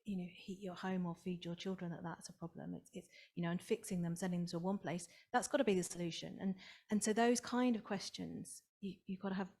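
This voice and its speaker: A woman's voice: fairly low pitch, fairly even intonation, fairly quick, a little mellow. A woman speaking on a conference panel in a conversational, reasoned way, often saying "you know".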